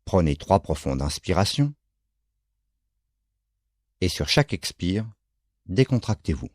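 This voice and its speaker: A man guiding a yoga relaxation session, giving calm, measured instructions in short phrases with pauses between them. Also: low voice, no room sound. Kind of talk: guided relaxation, slow spoken instructions.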